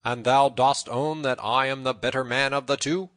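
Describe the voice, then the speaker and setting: A man's voice: medium pitch, fairly even intonation, normal speed, fairly bright tone, dry recording, clean studio sound, pitched above the performer's own voice, natural voice. A man voicing a stout, bold, blunt tanner in an old-fashioned storybook tale. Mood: grim, triumphant. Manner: blunt, archaic storybook speech. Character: stout, bold, gruff tanner, grim in victory.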